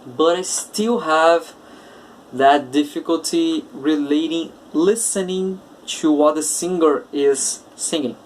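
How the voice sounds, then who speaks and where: A man with a Brazilian Portuguese accent, an English teacher hosting his own casual podcast, talking slowly and searching for words with many filler uhs. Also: on the high side, far from the mic, slight background noise, rough recording. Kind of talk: casual solo podcast talk.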